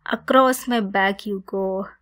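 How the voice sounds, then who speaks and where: A woman playing a possessive centaur captor, bossy yet caring, in an ASMR roleplay monologue with a deliberate, teasing delivery. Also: mid-range voice, steady tone, fairly bright tone, slight background noise. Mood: stern, impatient.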